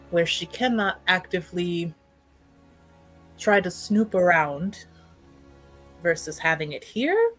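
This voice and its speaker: A woman playing a reserved, thoughtful noble niece in a tabletop fantasy roleplay, with hesitant, deliberate phrasing. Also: lowish voice, poor audio. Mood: tentative, careful.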